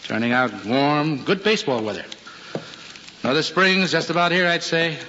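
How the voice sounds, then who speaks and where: An actor with an American accent playing a proud, blustering, middle-aged widowed policeman in an old radio drama, speaking haltingly and folksily. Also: a little high, expressive, bright, forceful tone. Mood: subdued, resigned. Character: gruff, proud, flustered widowed policeman father. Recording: dull vintage recording, faint noise.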